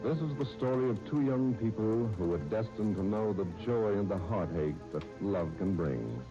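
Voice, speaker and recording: Male 1930s American announcer; low, warm, measured narration, muffled broadcast with music behind. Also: expressive. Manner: formal, measured, polished delivery. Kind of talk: 1930s radio scripted narration.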